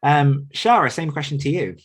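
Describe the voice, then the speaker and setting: A man's voice: on the high side, lively intonation, moderate pace, husky. A man hosting a casual interview, encouraging his guests and passing questions along. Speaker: podcast host.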